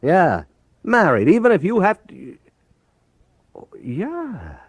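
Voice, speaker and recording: A man's voice: high-pitched, wildly swooping pitch, takes it slowly, husky, dry recording, full character voice. A man playing a teasing, exasperated American insurance adjuster in a 1950s radio drama, talkative and emphatic. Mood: goading.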